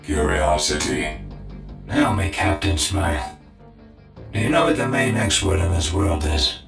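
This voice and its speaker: A man's voice: low voice, a little slow, vocal fry. A man voicing a clinical, inquisitive cyborg tech-priest in a sci-fi audio drama, clipped and formal. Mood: cool curiosity. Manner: clipped, formal, machine-like single-word prefaces. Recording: echoey voice under loud music.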